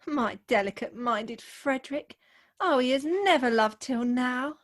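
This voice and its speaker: An actress plays a young Victorian bride with a high, girlish pitch. She is sentimental and jealous, declaiming every line with mannered earnestness, as in a comic radio play. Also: lively intonation, measured pace. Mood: tender. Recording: remote home video-call recording.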